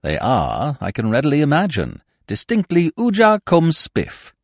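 A man voicing a suave young gentleman in an audiobook, with a smooth, grave, mock-formal manner. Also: a little high, huge pitch swings, a little slow, dry recording, clean studio sound, pitched above the performer's own voice, heavily acted. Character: suave, unflappable young English gentleman with florid courtesy.

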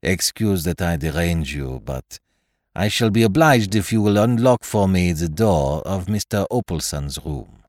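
A man voicing a courteous, shrewd detective with a dry, measured, formal manner, in an audiobook. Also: low-pitched, animated, darkish tone, no room sound. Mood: polite. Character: calm, courteous, shrewd foreign male detective.

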